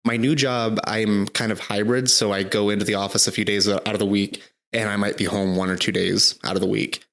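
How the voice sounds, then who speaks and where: A man in his thirties co-hosting a casual podcast, talking in a rambling way with lots of fillers and a slightly hoarse throat. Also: on the low side, little pitch movement, fairly quick, a bit bright. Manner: rambling, filler-heavy, restarts often. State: slightly hoarse, recovering from illness. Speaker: podcast co-host. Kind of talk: casual chat.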